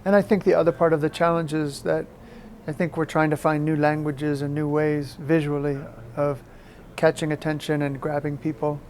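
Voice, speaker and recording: Interviewee: American man in middle age, thoughtful and halting, fairly level melody, faint ambient noise behind. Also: fairly high pitch, flat delivery, darkish tone. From Maine, United States. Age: middle-aged. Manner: halting, thoughtful, repeats words for emphasis. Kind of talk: reflective interview talk.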